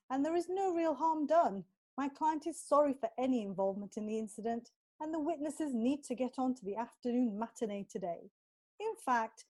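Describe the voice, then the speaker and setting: A woman plays a defence lawyer in a courtroom audio play. Her voice sits a little high. She argues formally and earnestly, with a persuasive, pleading manner. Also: a little mellow. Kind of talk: courtroom audio drama acting.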